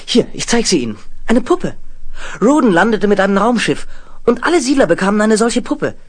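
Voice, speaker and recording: A man acting a frightened, earnest teenage orphan boy in a 1970s German radio play, husky, urgent and dramatic. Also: high voice, big pitch swings, a little fast. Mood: urgent, anxious. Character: frightened sixteen-year-old orphan boy, shape-shifting mutant, earnest.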